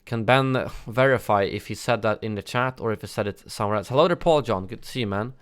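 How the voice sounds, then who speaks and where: Smooth-voiced man chatting on a livestream. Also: fairly low pitch, quick pace. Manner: chatty, reads and answers viewer chat; frequent fillers and self-corrections. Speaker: gaming news livestreamer. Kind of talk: casual livestream chat.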